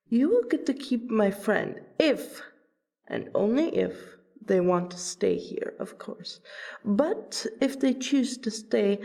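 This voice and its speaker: A woman voicing a proud, protective female vampire princess in an audio roleplay, with wry, deliberate, theatrical phrasing. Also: mid-range voice, vocal fry, darkish tone. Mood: firm, bargaining. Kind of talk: ASMR audio roleplay acting.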